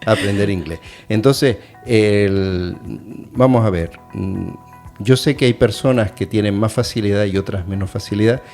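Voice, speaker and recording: A man's voice: fairly low pitch, moderate pace, a little mellow, soft background music. A middle-aged man hosting an educational radio chat, talking in a hesitant, thoughtful way with many fillers. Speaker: radio host and adult education teacher.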